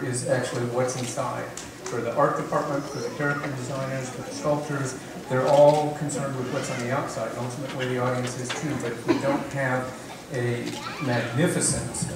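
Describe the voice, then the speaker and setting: A man's voice: mid-range voice, background noise. A middle-aged man on a theater stage, casually explaining his craft with a lot of fillers. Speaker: animation supervisor. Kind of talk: explaining on a panel. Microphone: lapel mic. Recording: echoey hall heard from far.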